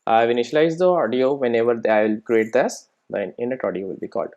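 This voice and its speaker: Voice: medium pitch, quick pace, darkish tone, highs cut off. A presenter giving an informal programming tutorial, thinking aloud while working and often checking in with short rhetorical questions.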